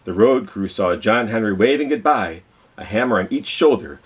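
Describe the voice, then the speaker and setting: A man hosts a children's storytime, reading aloud with big swings in pitch. His voice is husky and fairly low, and he tells the tale dramatically, pausing to put questions to young listeners. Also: expressive. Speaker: library storytime host reading a picture book. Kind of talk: expressive children's read-aloud.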